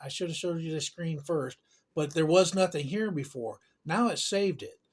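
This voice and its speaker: A man with a somewhat higher-pitched voice walks through a hands-on tech tutorial. He talks casually and folksily, rambling a little as he goes and using loose, informal grammar. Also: moderate pace. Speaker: tutorial presenter.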